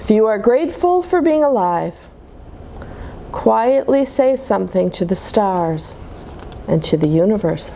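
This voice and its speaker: A woman reading picture books aloud to young children in an expressive, explaining manner. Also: mid-range voice, measured pace, creaky, a little hiss or hum. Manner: animated, simple explanations to children. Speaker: children's storybook reader at a church.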